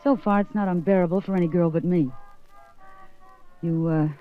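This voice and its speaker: An actress in 1950s radio drama playing a world-weary, flirtatious taxi dancer who teases and turns bitter. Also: female voice, on the low side, fairly even intonation, moderate pace, natural voice. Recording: dull old radio broadcast, music behind.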